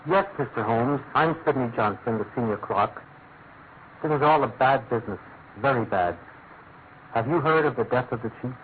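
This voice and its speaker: A man's voice: medium pitch, moderate pace, natural voice. Man acting troubled British clerk, tinny old radio. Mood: dismayed, mournful. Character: troubled, formal male senior government clerk, deferential. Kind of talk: classic radio drama acting. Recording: noisy, narrow-band old radio recording.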